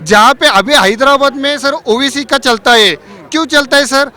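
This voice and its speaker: High-pitched young Marathi man, bright voice. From Maharashtra, India. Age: young adult. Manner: halting, searching for words. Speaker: party supporter interviewed. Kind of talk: casual street interview.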